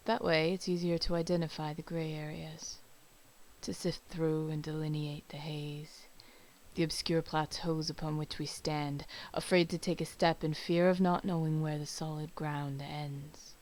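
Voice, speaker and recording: A woman giving a dramatic, solemn poetry reading, measured, with phrases that often trail into breath. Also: low voice, flat delivery, darkish tone, rough recording. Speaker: poetry reader.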